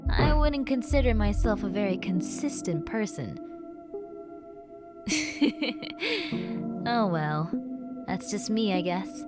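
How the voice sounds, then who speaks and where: A woman's voice: mid-range voice, sing-song, slow, long pauses, over loud music. A woman playing a stressed, caring young best friend, venting earnestly and intimately to the listener in a conversational audio roleplay. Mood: softer, grateful. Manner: conversational, sighing, rambling, earnest.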